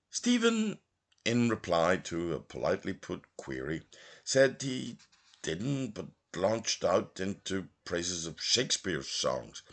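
A low-voiced man narrates a literary novel. His pitch swings widely from phrase to phrase. He takes his time, phrasing deliberately and leaving dramatic pauses. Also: creaky. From Belfast, Northern Ireland. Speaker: audiobook reader, lecturer.

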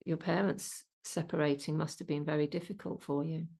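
Woman host from the Midlands, low gravelly tone, warm encouraging interviewer. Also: fairly even intonation, fairly quick. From English Midlands. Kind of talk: conversational interview.

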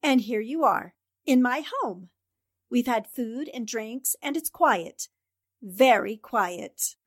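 Woman acting a high, unhurried, teasing young reporter. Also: lively intonation. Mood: wry, teasing. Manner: mock-hurt, wry teasing. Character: clever, teasing young female newspaper columnist. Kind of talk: 1940s radio drama acting.

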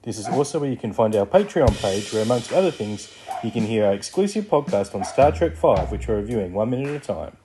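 A man's voice: fairly low pitch, big pitch swings, fast talker, over music. A man reading a scripted podcast outro as the announcer.

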